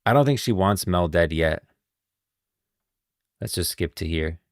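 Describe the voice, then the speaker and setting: A man's voice: sits low, lively intonation, a little slow, no echo, clean studio sound. A man giving casual, opinionated live-stream commentary, thinking aloud with emphasis.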